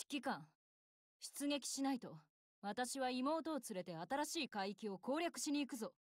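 A woman's voice: a little high, no room sound, studio quality. An actress playing a proud, battle-hungry young warship girl in an anime game, speaking in a blunt, self-assured, tomboyish way. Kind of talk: Japanese anime game voice lines.

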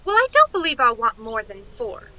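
A woman's voice: fairly high pitch, huge pitch swings, normal speed, pushed and bright, highs cut off, exaggerated voice. A woman playing an eager young niece who calls out in a childlike way in a radio play. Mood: eager, pleading. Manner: childlike, calling out. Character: hungry, eager young niece calling to her aunt.

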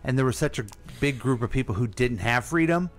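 A man's voice: mid-range voice, lively intonation, fairly quick. A middle-aged American man co-hosting a radio show, asking questions in casual conversation.

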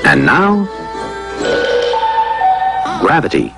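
A man's voice: lowish voice, huge pitch swings, very slow, gravelly, loud music behind the voice. A man narrating a scripted educational cartoon in a playful, conversational way, full of rhetorical questions.

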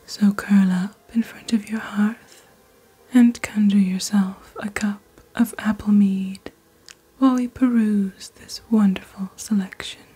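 A young woman playing a calm, mysterious witch who hosts a magical shopping channel in soft, breathy, half-whispered ASMR narration. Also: medium pitch, poor audio. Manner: slow, deliberate, hushed, theatrical presenting. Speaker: host of a fantasy shopping channel. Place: small dim indoor set. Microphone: close fluffy-covered studio microphone.

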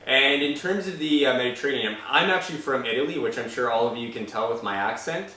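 A friendly man in his thirties from Canada co-hosts a cooking show. He hesitates often and fills his pauses with "uh". He sounds distant, with a strong room echo across a large kitchen. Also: medium pitch, a crowd in the background. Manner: friendly, hesitant with many "uh" fillers. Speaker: co-host. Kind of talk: casual cooking show presenting. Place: large home kitchen, indoors. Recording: roomy, distant sound with light music.

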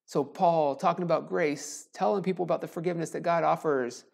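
A man in his forties preaches a recorded sermon from a small church sanctuary. He talks in a relaxed, conversational way, full of jokes and self-deprecating asides. His voice is picked up close on a lapel mic. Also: a little high, a little fast, gravelly. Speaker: pastor. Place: small church sanctuary with a wooden cross behind. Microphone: lapel mic, camera framed from the chest up.

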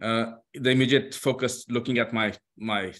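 A man with a foreign accent making a halting academic comment in a discussion, with many fillers and restarts. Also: mid-range voice, fairly even intonation, a bit bright. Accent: foreign-accented English.

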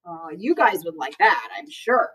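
A middle-aged woman reading aloud at children's story time in an expressive, teacherly manner. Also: fairly low pitch, measured pace, slightly rough. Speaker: school librarian reading aloud. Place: school library, indoors. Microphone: far camera. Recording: distant camera microphone.

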